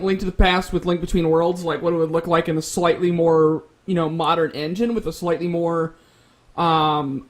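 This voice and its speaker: A man moderating a casual panel discussion, working through his points with hedges and restarts. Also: sits high.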